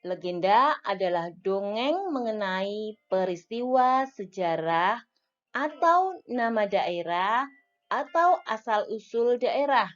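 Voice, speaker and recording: A woman teacher explaining a lesson to young schoolchildren, counting off points one by one in a clear, deliberate way. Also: medium pitch, lively intonation. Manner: slow, clear teacherly explaining with list-like enumeration. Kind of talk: recorded classroom lesson for young children. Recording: dull lo-fi audio with faint music.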